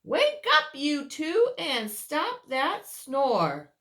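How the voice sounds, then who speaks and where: An exasperated storybook boy played by a woman reading aloud calls out in a high, bright voice. He is loud and insistent, swooping through his words and dragging them out. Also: wildly swooping pitch, full character voice. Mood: loud demanding. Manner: loud, insistent, drawn-out calling. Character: exasperated storybook boy Jack calling sleepers awake.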